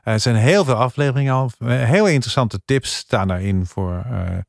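A man's voice: medium pitch, expressive, a little fast, gravelly, dry recording, studio quality. A man giving a casual spoken review on a panel, in a hesitant, piecemeal way.

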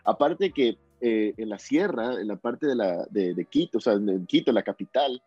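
A man's voice: fairly low pitch, animated, moderate pace, warm tone, slightly rough, no echo, highs cut off, soft background music. A young man from Ecuador with a coastal Ecuadorian accent, chatting as an interview guest and rambling with many restarts. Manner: rambling, repeats and restarts words. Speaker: guest musician. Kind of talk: casual interview chat.

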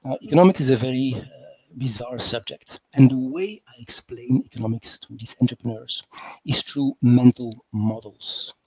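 A man from continental Europe, a guest economist, explaining things in a measured, hesitant way and trailing off softly. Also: mid-range voice, slightly rough, low-quality recording. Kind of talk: explanatory interview talk.

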